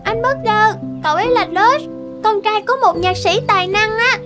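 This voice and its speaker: A woman's voice: very high voice, normal speed. A woman voicing a shy, dreamy little girl with a small childlike voice in a cartoon. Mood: polite. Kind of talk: cartoon dubbing. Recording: loud music behind the voice. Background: children's instrumental music.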